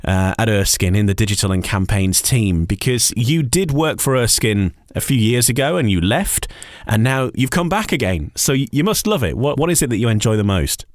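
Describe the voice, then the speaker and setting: A man hosting a casual radio interview, halting a little and repeating words as he forms his thoughts. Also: medium pitch, lively intonation, dry recording, studio quality.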